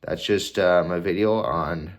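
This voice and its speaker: A young man with an extremely deep voice speaks in an unhurried, calm way. He sounds stuffed up from a cold and gives casual advice, with small fillers and restarts. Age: 20s. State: congested from a cold. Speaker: vlogger sharing mental health tips. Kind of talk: casual advice talk to camera.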